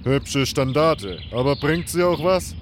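Ein Mann spielt in einem Fantasy-Hörspiel einen skeptischen Abenteurer. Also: male voice, a little high, animated, a little slow, a little hiss or hum. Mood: skeptical. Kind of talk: fantasy radio play acting.

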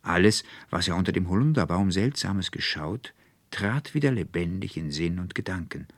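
Deep, gravelly male storyteller. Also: dry recording. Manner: measured, expressive, deliberate. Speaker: narrator. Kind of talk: literary fairy-tale audiobook narration.

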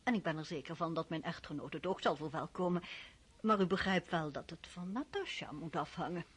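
A woman's voice: lowish voice, a little fast, slightly rough. An actress playing a dignified, courteous middle-aged countess and mother in a radio play.

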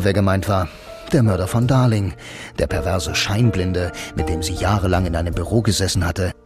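A man's voice: sits low, natural voice. An actor playing a sardonic blind bank employee who narrates his story in a radio play, dryly and laconically. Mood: bitter, dry. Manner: dry, deadpan, laconic. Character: sardonic blind male bank copywriter, a lonely self-styled noir hero. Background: music.

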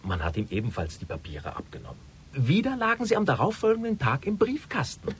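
A man's voice: on the high side, wildly swooping pitch, fairly quick, slight background noise. An actor playing an anxious, lovesick rich businessman in a radio crime drama, confiding earnestly and with agitation. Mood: worried, agitated. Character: anxious, lovesick wealthy businessman confiding in his half-sister.

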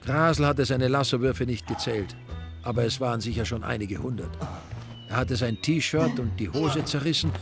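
A man narrating a crime story in a dry, wry, deadpan way. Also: mid-range voice, normal speed, creaky, music in the background.

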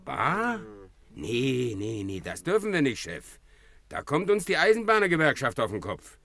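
A money-minded working-man henchman in a radio crime satire. His mid-pitched voice swoops widely as he haggles stubbornly and repeats himself. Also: huge pitch swings, measured pace, pushed and bright, exaggerated voice. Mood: stubborn haggling. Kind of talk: 1950s German satirical crime radio play.

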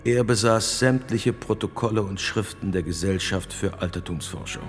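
Deep-voiced, stately older bishop played by a man. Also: moderate pace, lightly acted. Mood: grave. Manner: stately, commanding. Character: grave, authoritative bishop, benevolent yet calculating. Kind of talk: German radio drama acting. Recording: long reverberant hall. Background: music.

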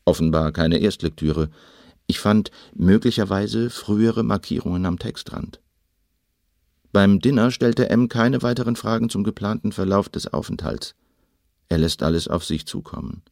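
An actor playing a watchful secret agent who gives a terse, wry, matter-of-fact report in a German radio drama. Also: male voice, fairly low pitch, normal speed, vocal fry, darkish tone, dry recording, studio quality.

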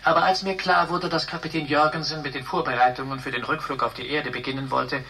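Prim, higher-voiced service robot. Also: male voice, fairly even intonation, quick pace, vocal fry, pushed and bright, breathy edge, full character voice. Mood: politely insistent. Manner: formal, precise, butler-like. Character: polite, fussily correct male service robot, devoted to his employer. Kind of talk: German radio drama acting.

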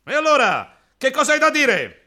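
An actor playing a crude, gleeful, bullying interrogator in a theatrical radio drama, moving between hushed menace and loud outbursts. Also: male voice, extremely high pitch, wildly swooping pitch, normal speed, exaggerated voice. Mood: gloating menace.

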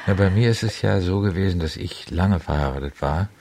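Deep, husky, hesitant older man. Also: a little fast, darkish tone. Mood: resigned, regretful. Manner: hesitant, subdued. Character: resigned, reserved older divorced man at speed dating. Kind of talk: improvised conversational radio drama.

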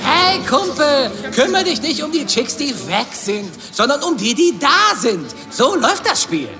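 A cocky schoolboy with a high, bright voice and swaggering delivery. It is children's audio drama, in long hall reverb with faint music. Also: very animated, unhurried, heavily acted. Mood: smug, cocky. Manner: smug, swaggering. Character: cocky schoolboy playing the cool charmer.

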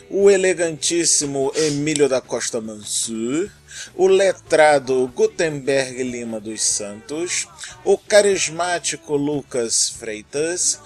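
Higher-pitched Brazilian man hosting a podcast. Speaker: podcast host. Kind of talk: reading listener mail and thanking patrons on a podcast.

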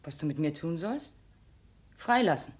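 A low voice for a captive princess forced into slavery. She is blunt, proud and defiant, in the acting style of 1950s radio drama. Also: animated, unhurried.

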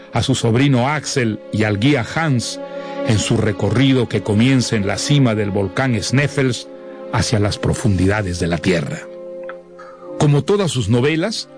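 A man presenting a novel in a scripted, measured, literate narration. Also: medium pitch. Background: music.

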